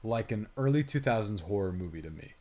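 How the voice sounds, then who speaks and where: A man's voice: lowish voice, animated, fairly quick, dark tone. Casual man chatting about movies. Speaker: podcast co-host and film reviewer. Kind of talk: casual movie review chat.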